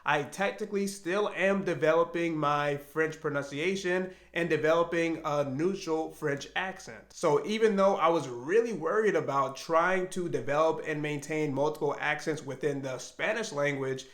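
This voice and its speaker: An American man speaks in an earnest, reflective way, as if thinking aloud. His voice sits a little high for a man. The room around him carries a noticeable echo. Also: a bit bright. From United States. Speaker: language learning vlogger. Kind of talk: reflective personal vlog.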